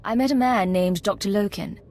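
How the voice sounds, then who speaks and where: A woman playing a composed undercover Imperial agent in a video game, measured and businesslike. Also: medium pitch, a little mellow, lightly acted. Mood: cool, businesslike.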